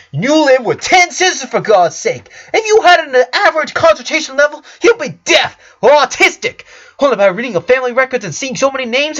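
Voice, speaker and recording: A man's voice: very high voice, fairly bright tone, dull audio, voice pushed up, full character voice. A man voicing a blunt, glib doctor in a story reading, lecturing in a rambling, sarcastic way. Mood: animated, mocking. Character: glib, blunt, morbidly curious male doctor.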